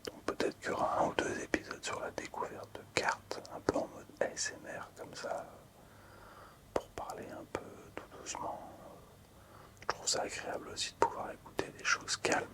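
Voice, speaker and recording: A man whispering softly and hesitantly in an unhurried ASMR style, as a video creator showing something to viewers. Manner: soft, unhurried, hesitant with pauses.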